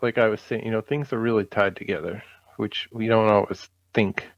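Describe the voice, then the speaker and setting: A man's voice: fairly low pitch, fairly even intonation, normal speed, no room sound. A man co-hosting a podcast in casual chat, laid-back and agreeable in his informal way of talking. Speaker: podcast co-host. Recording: slightly dull, muffled audio.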